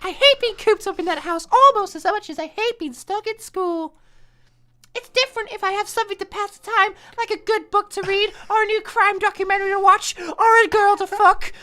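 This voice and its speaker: A man's voice: extremely high pitch, a little fast, sharp tone, voice pushed up. A man voicing a whiny, dramatic anime schoolgirl in an exaggerated read-aloud of a visual novel. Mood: grumbling. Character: squeaky, dramatic anime schoolgirl, a detective's daughter. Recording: music behind the voice.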